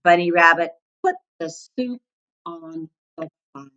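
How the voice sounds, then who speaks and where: A woman voicing a scruffy, bad-tempered male varmint from a storybook, gruff, snarling and curt. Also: on the low side, takes it slowly, fairly bright tone, dull audio. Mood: irritated. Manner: snarling, curt, barking orders.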